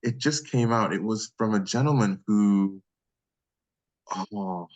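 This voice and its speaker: Man, deep bass voice, low-pitched, casual interview guest, effusive and appreciative. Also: slow pace, a little mellow, muffled recording.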